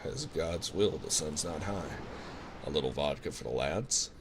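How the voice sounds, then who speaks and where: Storybook old peasant mower, read by a man, hearty and brisk, deep and gravelly, ocean noise behind. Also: lively intonation, heavily acted. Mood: easygoing. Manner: brisk, folksy. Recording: clear background noise, ocean sounds.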